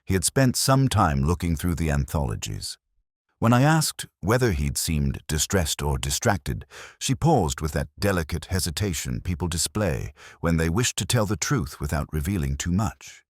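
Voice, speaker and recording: Very deep-voiced man narrating a mystery. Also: sing-song, no room sound, studio quality. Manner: measured, reflective, literary phrasing. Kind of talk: scripted first-person audio drama narration.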